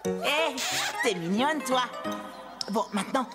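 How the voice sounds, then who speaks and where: A woman's voice: high voice, lively intonation, takes it slowly. A woman voicing a cheerful, kind, plucky little boy in a children's cartoon, eager and exclamatory. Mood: concerned. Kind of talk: French children's cartoon acting. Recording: loud music behind the voice.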